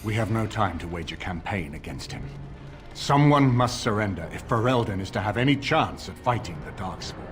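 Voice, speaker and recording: Casting: male actor for stately middle-aged fantasy game lord, low register, formal and authoritative, lively intonation. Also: moderate pace, faint music behind, natural voice. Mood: grave, resolute. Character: grave older nobleman lord rallying allies against a usurper. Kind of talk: video game voice acting, dramatic dialogue.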